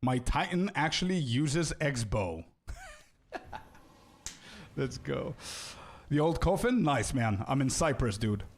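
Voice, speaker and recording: A young man streaming a video game and chatting casually with viewers, loose and friendly, often calling them bro and repeating words as he thinks out loud. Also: medium pitch, big pitch swings, slow pace, pauses often, slight background noise. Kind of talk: casual live-stream chat, answering viewers.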